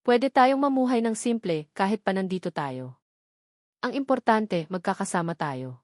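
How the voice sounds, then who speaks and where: Babaeng gumaganap na nagsusumamong binatang umiibig. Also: female voice, medium pitch, expressive, moderate pace, a little mellow, no room sound, clean studio sound, lightly acted. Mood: persuading. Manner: earnest, insistent. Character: pleading, frustrated young man in love. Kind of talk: audiobook drama dialogue.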